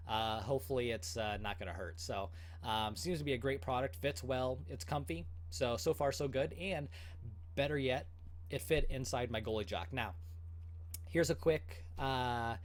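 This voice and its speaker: A man's voice: medium pitch, normal speed. Chatty upbeat man on a livestream. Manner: chatty, filler-heavy, upbeat. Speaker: goalie channel host. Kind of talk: casual livestream chat.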